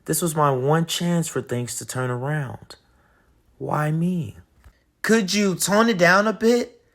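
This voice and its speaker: A man's voice: on the high side, slow, fairly bright tone. A man voicing a sulky, indignant little boy in a children's picture book read-aloud, complaining in an exaggerated, dramatic way. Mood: exasperated. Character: sulky, whiny, indignant little boy having a bad day.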